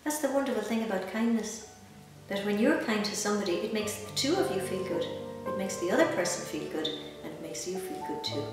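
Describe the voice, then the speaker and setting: Irish woman, sixties, gentle children's story reader with chatty asides; very echoey room, distant mic. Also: medium pitch, expressive, fairly quick, over music. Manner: gentle, reassuring, simple explanations addressed to young children. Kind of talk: picture-book reading with chatty asides to children. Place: small indoor room with bookshelves. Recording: roomy echo, distant mic, background noise.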